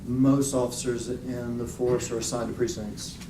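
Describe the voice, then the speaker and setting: Flat-voiced man questioning in an echoey courtroom. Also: medium pitch. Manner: measured, leading yes-or-no questions. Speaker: attorney questioning a witness. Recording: echoey courtroom audio from far off, hissy.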